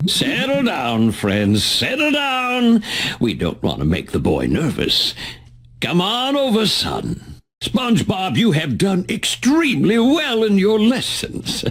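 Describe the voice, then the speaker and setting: An actor playing a pompous, fatherly con man of a sea creature in a cartoon game, with a husky voice and theatrical flourishes. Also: high voice, wildly swooping pitch, takes it slowly, a bit bright, exaggerated voice. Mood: smooth-talking, fatherly. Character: grandiose, fatherly male driving-school swindler, a sea creature.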